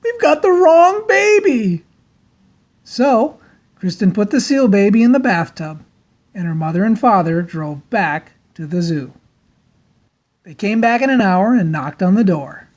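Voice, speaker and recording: A woman storyteller reads a children's book aloud with theatrical flair. Her medium-pitched voice moves widely up and down, leaning on key words with deliberate emphasis. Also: lively intonation, darkish tone. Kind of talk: dramatic storybook read-aloud.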